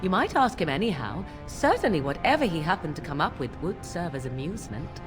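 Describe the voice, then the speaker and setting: A woman's voice: fairly low pitch, sing-song, a little fast, over music. An actress playing a haughty, mocking swamp witch in a video game, with archaic, teasing phrasing. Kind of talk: video game character acting.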